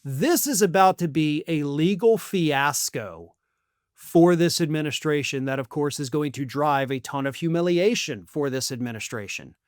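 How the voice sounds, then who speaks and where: A man's voice: fairly high pitch, lively intonation, moderate pace, dry recording, clean studio sound. An American man delivering opinionated news commentary as a host, in an emphatic, sarcastic and colloquial way. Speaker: political commentator and channel host.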